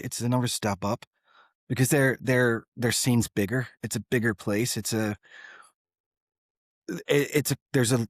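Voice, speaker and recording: A man's voice: mid-range voice, no room sound, studio quality. A man in his thirties chatting casually as an interview guest, earnest and informal, often rambling and breaking off phrases to start again. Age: 30s. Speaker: guest, rock band singer and guitarist.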